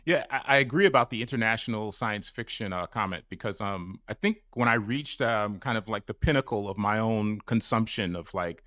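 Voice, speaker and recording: Bright, rambling male podcast host. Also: lowish voice, expressive, brisk, hardly any pauses, no room sound, studio quality. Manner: rambling, many "like" and "um" fillers. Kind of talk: casual interview chat. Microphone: mic on a stand over a video call.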